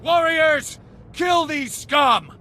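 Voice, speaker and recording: A man's voice: very high-pitched, animated, extremely slow, very noisy, exaggerated voice. A man voicing a fierce, bombastic alien warrior in a video game, declaiming with menace. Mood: menacing, outraged. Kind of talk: video game cutscene dialogue.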